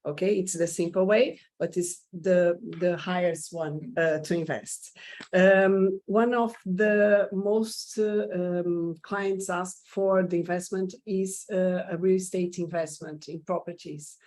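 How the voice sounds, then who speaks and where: A woman's voice: fairly low pitch, fairly even intonation, slow pace, a little mellow. A woman with a Portuguese accent and Portuguese as her first language, explaining investment options hesitantly with many fillers. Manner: hesitant, many uh fillers, non-native phrasing. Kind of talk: informational talk.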